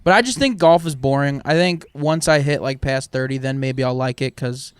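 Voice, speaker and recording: Clear-voiced young man chatting casually. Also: mid-range voice, normal speed, dry recording. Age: 20s. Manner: rambling, laughs often. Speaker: podcast co-host. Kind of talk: casual chat.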